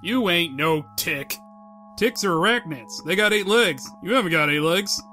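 A man's voice: sits high, animated, normal speed, sharp tone, soft background music. A man playing a blunt, incredulous, nosy street guy in a comic-book audio drama, talking colloquially. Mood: dismissive. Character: gruff, skeptical, nosy street guys needling a superhero.

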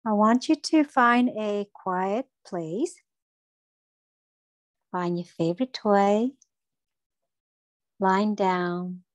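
A woman leading a guided breathing meditation for children, speaking in a gentle, soothing and encouraging way. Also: mid-range voice, dry recording.

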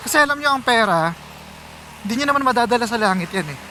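A man's voice: extremely high pitch, animated, quick pace, noisy background. A Filipino man chatting casually to viewers as he rides, conversational and mixing in English phrases. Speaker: motorcycle vlogger. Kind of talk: casual vlog chat to viewers while riding. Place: outdoors, riding a motorcycle on city roads.

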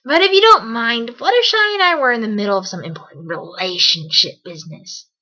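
A woman's voice: a little high, animated, brisk, sharp tone. A woman voicing a cocky, casual tomboy pegasus pony in an amateur audio drama. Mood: defensive, bold. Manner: casual, bold.

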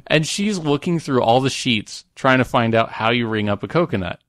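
A man's voice: medium pitch, lively intonation, moderate pace, a bit bright, no echo. Man telling casual, jokey stories, dry close sound. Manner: jokey, prone to chuckling. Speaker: podcast co-host. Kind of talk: casual chat, rambling anecdote.

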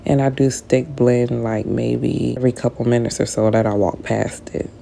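Low, gravelly, stuffy-voiced woman. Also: level tone, normal speed, dark tone, a little hiss or hum. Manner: casual, explanatory, addresses viewers directly. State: stuffy, recovering from illness. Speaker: skincare tutorial creator. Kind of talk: voice-over tutorial narration.

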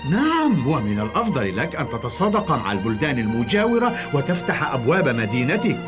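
An actor playing an earnest, urgent adviser to a governor in a cartoon dub. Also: male voice, mid-range voice, huge pitch swings, quick pace. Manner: urgent, emphatic. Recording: music behind the voice.

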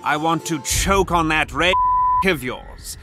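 An actor playing a lonely, menacing, lecherous demon lord with theatrical delivery in an adult cartoon. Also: male voice, a little high, wildly swooping pitch, takes it slowly, over loud music, heavily acted. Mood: menacing, lustful. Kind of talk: adult animated comedy acting.

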